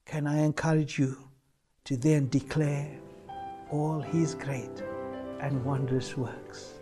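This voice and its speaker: A man's voice: fairly high pitch, level tone, takes it slowly, dark tone, breathy edge, soft background music. An older man, a pastor, preaching and reading scripture in an emphatic, exhorting manner with repeated phrases. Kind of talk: fervent sermon with scripture reading. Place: small church room, indoors, at a lectern.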